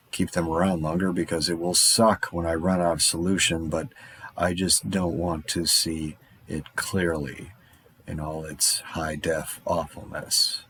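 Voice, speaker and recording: A man's voice: low-pitched, husky. An actor playing a lone man surviving a zombie apocalypse, talking in a rambling, darkly wry monologue for an audio drama.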